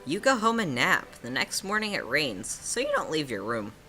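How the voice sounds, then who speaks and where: Low-voiced female game host, lively range, casual and teasing story narration over music. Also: moderate pace. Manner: playful, sardonic. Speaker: host reading a choice-based story game aloud. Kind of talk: casual playthrough narration with banter. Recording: music playing behind the voice.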